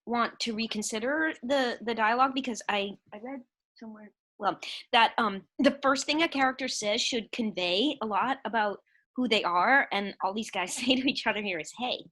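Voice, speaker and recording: Earnest, high-voiced female student. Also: fairly bright tone. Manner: apologetic, hesitant, polite. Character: earnest, eager female student who politely critiques. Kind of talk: scripted comedy acting on a video call.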